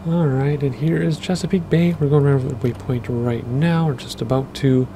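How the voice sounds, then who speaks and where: A man, rambling casually through gameplay commentary with lots of fillers, his voice a bit hoarse from losing it. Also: mid-range voice, steady tone, moderate pace, background noise. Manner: rambling, chatty, with many fillers. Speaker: flight sim gaming host.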